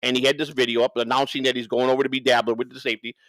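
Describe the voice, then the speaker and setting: A man's voice: mid-range voice, level tone, very fast, a bit bright, dry recording, rough recording. A man on a livestream, talking off the cuff in a profane, rambling way and repeating himself for emphasis. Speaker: livestream co-host. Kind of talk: unscripted livestream rant and banter.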